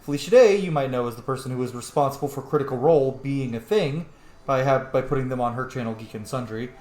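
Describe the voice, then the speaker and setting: A man's voice: medium pitch, fast talker, darkish tone, reverberant. A man giving casual, playful game commentary as host, reacting aloud with asides. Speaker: gaming video host and player.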